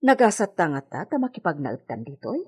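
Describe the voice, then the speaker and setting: Loyal hacienda maid , female actor, Ilocano drama. Husky, deferential, lively melodic swings. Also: low voice, brisk, full character voice. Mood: reasoning, chiding. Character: loyal, patient housemaid of a hacienda villa, woman. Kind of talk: Ilocano radio drama acting. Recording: music bed under the voice.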